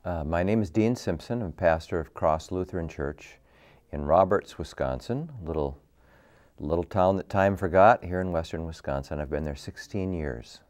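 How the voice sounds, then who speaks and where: Deep deep voiced pastor guy, middle aged, jokey about himself. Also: a little mellow. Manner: self-deprecating, halting. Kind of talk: panel introduction.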